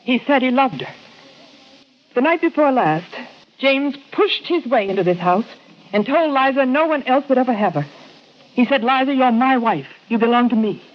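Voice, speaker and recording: An actress playing a prim, accusing older aunt in a vintage radio crime drama, speaking earnestly and emphatically. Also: female voice, high voice, expressive, moderate pace. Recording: dull old radio recording.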